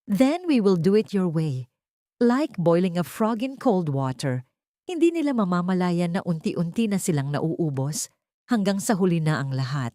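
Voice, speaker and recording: A woman voicing a calm, devoted male ally in a romance audio drama, composed and earnest. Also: fairly low pitch, moderate pace, dry recording, clean studio sound. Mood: calm, determined.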